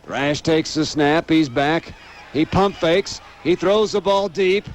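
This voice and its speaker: Higher-pitched American man calling football play-by-play. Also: takes it slowly. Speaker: play-by-play radio sports announcer. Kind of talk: live American football radio commentary. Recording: noisy outdoor stadium radio broadcast. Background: steady outdoor ambient noise.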